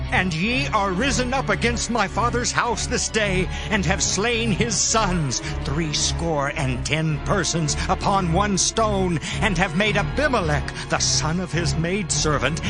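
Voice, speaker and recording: An actor playing an impassioned, wronged man proclaiming parables and curses in a dramatized Bible reading, with music behind. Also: high-pitched.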